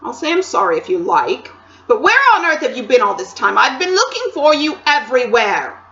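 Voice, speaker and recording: A woman's voice: fairly high pitch, very animated, brisk, a bit bright, reverberant, highs cut off, pitched above the performer's own voice. A woman reading aloud, voicing a sulky young boy who answers snappishly.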